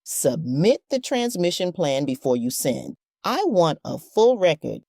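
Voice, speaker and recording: A woman's voice: deep voice, very animated, unhurried, warm tone, dry recording, studio quality, full character voice. A woman playing a stern, cautious director in a sci-fi audio drama, speaking with commanding authority. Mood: stern, wary.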